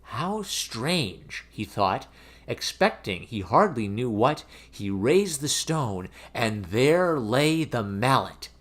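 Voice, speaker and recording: A man reading a fairy tale aloud as an expressive, theatrical storybook narration. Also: medium pitch, slow. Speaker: storyteller, reader of fairy tales.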